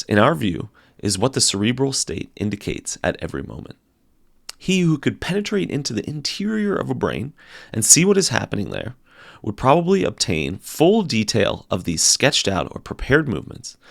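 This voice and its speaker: Male narrator for philosophy text: lowish pitch, swooping intonation, theatrical and deliberate delivery. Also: gravelly, dry recording, studio quality. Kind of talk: dramatic audiobook-style reading of a philosophical text.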